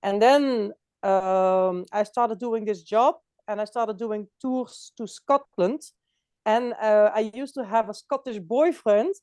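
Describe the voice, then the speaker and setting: A woman's voice: mid-range voice, slow, darkish tone, clear, resonant tone, no room sound. A woman from France with a Dutch accent, chatting as a guest on a video call, often laughing and restarting her phrases. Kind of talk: casual online chat.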